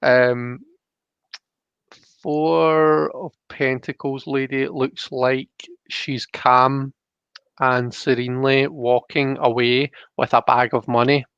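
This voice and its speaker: A young man chats as a live stream host, with an ordinary mid-pitched voice. He rambles and thinks aloud in a casual way. The sound is dry and slightly dull. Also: little pitch movement, takes it slowly, a bit bright, clean tone, dry recording. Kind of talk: casual chat, reading out viewer comments.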